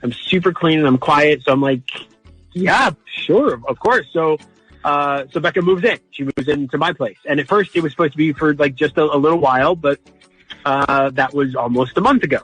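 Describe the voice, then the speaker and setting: A man calling in to a radio show, telling his story casually in an earnest, halting way. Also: fairly high pitch, fairly bright tone, breathy edge, faint music behind, rough recording. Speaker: caller.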